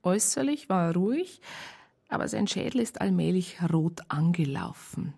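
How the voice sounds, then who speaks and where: Down-to-earth female narrator, Bavarian accent, raspy, creaky, wry, colloquial radio drama storytelling. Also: medium pitch, mellow.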